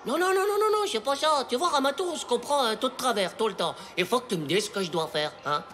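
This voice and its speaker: An actor voicing an excitable, cheeky and naive ten-year-old boy in an animated cartoon, exclamatory and slangy. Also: medium pitch, fast talker, close to an everyday voice. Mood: pleading.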